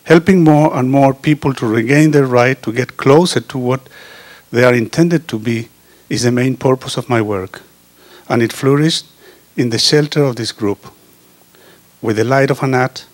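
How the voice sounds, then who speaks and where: A man reads an earnest prepared speech into a handheld microphone. His delivery is halting, with frequent pauses and restarts, and his pitch sits at an ordinary middle level for a man. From Chile. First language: Spanish. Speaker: osteopath giving a testimonial. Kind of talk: reading a prepared personal speech. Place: indoor meeting room.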